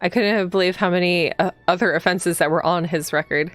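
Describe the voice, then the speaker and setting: A woman's voice: lowish voice, steady tone, fairly quick, faint music behind, close to an everyday voice. A woman reading the part of a proud, dutiful young policewoman in a visual novel, earnest and easily indignant. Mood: composed.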